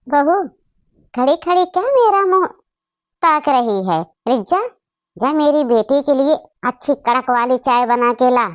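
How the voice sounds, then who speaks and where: Woman voicing a high-voiced bossy cartoon mother-in-law. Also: expressive, unhurried, no room sound, dull audio. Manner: fussing, commanding. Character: doting but bossy middle-aged mother and mother-in-law. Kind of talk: Hindi family comedy cartoon acting.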